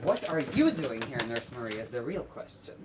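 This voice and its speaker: A man's voice: mid-range voice, sing-song, normal speed, natural voice. A teenage male student acting a confused, insistent captive spy in an amateur stage play. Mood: suspicious, insistent. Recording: distant noisy stage recording with clicks.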